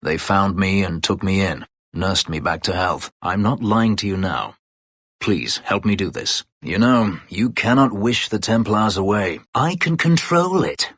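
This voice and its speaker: Game role: bitter, brooding elven fugitive slave; deep male voice, terse and formal, recorded close and dry. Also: expressive, unhurried, fairly bright tone, husky, no echo, heavily acted. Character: brooding, bitter escaped slave elf warrior, adult male. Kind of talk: video game character dialogue.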